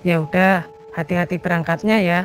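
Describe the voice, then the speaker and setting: A woman playing a caring, gentle middle-aged mother in a cartoon. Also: on the low side, fairly even intonation, a little fast, over music, lightly acted. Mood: concerned, accepting.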